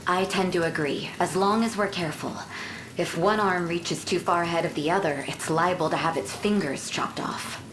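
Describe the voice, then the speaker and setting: A woman playing a stern, shrewd noble war leader in a video game, measured and commanding. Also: lowish voice, background noise, natural voice. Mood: cautious, measured. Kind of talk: video game cutscene voice acting.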